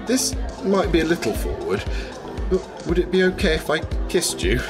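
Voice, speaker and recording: Music plays under a playful man in a radio drama. His delivery is animated and teasing, swinging widely in pitch. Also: moderate pace, crowd noise. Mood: tipsy, hesitant. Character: playful, flirtatious man, troubled by nightmares.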